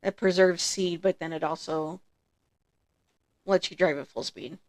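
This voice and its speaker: A woman streaming a farming game, chatting casually through her gameplay in a relaxed, rambling way, a little congested from allergies. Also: lowish voice, measured pace. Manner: relaxed, chatty, trailing off with ums.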